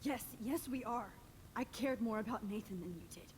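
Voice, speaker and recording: An actress playing a defiant, distraught teenage girl held captive in a video game, speaking in an intense, hushed, accusing way. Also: fairly high pitch, normal speed, a little hiss or hum, lightly acted. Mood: defiant, angry. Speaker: heroine in a story video game. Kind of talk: dramatic video game acting.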